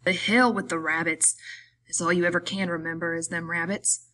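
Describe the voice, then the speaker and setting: A woman playing a small, irritable, weary male migrant worker in an audiobook, scolding in rough, rustic speech. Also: lowish voice, steady tone, moderate pace, sharp tone, low-quality recording. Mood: exasperated.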